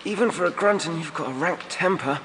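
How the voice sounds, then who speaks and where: A man's voice: on the high side, brisk, fairly bright tone, in a large hall, a little hiss or hum, voice pushed up, lightly acted. A man voicing a scrappy, cheeky young male alien street hustler in an audiobook, defiant and wary. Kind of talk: audiobook character acting.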